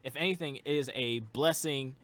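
A man's voice: mid-range voice, moderate pace, a bit bright. A young man who makes music, a guest in a casual interview, talking earnestly and rambling with lots of fillers.

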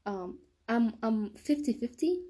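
A woman's voice: fairly high pitch, lively intonation, measured pace, warm tone. A young woman talking casually in a solo podcast monologue, hesitant and often stumbling and rephrasing. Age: young adult. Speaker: podcast host.

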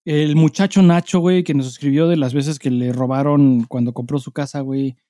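A man's voice: medium pitch, fairly even intonation, moderate pace, warm tone, no echo, clean studio sound. A man with a Mexican accent co-hosting a casual podcast, talking in loose, sweary slang as he chats and reads listener mail. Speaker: podcast co-host.

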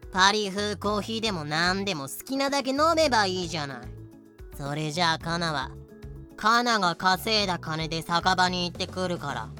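A woman's voice: on the low side, animated, a little fast, bright, forceful tone, soft background music. A woman playing a haughty, flippant, hard-drinking young daughter who captains a ship in an anime-style voice drama. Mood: smug.

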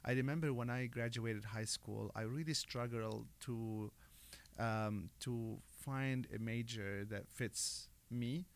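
A man talks through his story as an interview guest, relaxed and loose with plenty of fillers and restarts. His voice has a gravelly edge and a touch of fry. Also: medium pitch, slow. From Lebanon. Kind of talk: casual interview chat.

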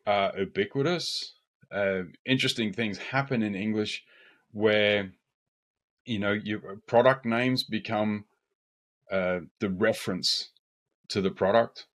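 A man from Australia with an Australian accent, co-hosting a podcast in casual discussion, direct and confident with quick bursts of speech. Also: lowish voice. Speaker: podcast co-host.

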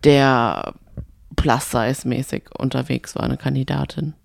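A woman's voice: a bass voice, steady tone, a little slow, creaky, no room sound. A woman chats as a podcast co-host. Her delivery is conversational and informal. The sound is dry, with hardly any room in it. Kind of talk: casual chat about reality TV. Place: hotel room.

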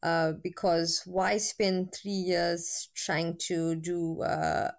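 A woman's voice: on the low side, flat delivery, drawn-out words. A woman lecturer, a guest on a podcast, speaking candidly with frequent fillers. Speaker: university lecturer with a PhD. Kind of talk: interview guest.

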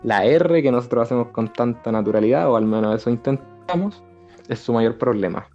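A man's voice: medium pitch, a little fast, dark tone. A man with a Chilean Spanish accent chatting casually as a co-host on a talk show. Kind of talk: casual chat. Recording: slightly dull lo-fi audio. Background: background music.

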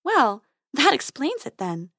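A woman doing a brisk, high tooth fairy. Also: huge pitch swings, no room sound, studio quality, exaggerated voice. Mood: concerned. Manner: brisk, bright, confident. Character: brisk, brave female tooth fairy dentist.

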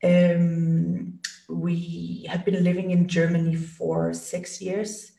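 A woman from Denmark talks slowly and haltingly, full of ums, likes and restarts, her voice a touch low for a woman. She sits a little back from the mic in an echoey room. She sounds like a guest on a casual interview show. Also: monotone, creaky, warm tone, distant mic. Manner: hesitant, many fillers and restarts. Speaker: podcast guest, business strategist.

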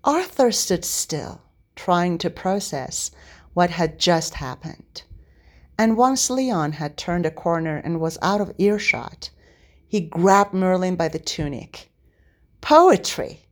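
A woman reading a story aloud as narrator, in an expressive scripted style. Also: on the low side, takes it slowly. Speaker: podfic narrator.

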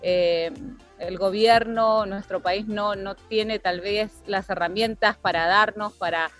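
An Argentine woman in her forties tells her personal story as a guest in a casual interview. She goes hesitantly, pausing often to find her words. There is faint music behind her. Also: mid-range voice, fairly even intonation, a bit bright. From Bahía Blanca, Argentina. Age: 40s.

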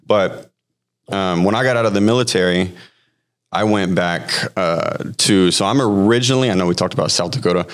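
Deep-voiced man in his thirties, podcast guest sharing his testimony; loose and halting, lots of fillers. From Hawaii. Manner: halting, frequent fillers and restarts. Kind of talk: personal testimony, conversational storytelling.

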